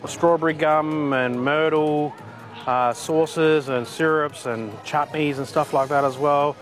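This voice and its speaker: A man's voice: a little high, steady tone, takes it slowly. Casual man, music playing behind. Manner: loose, with fillers and "like". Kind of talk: casual interview.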